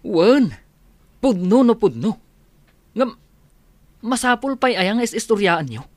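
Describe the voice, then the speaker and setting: A man's voice: high voice, sing-song, slow pace, no room sound, heavily acted. An actor playing an exasperated young policeman son, scolding emphatically in a radio comedy drama. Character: exasperated grown policeman son scolding his drunkard father.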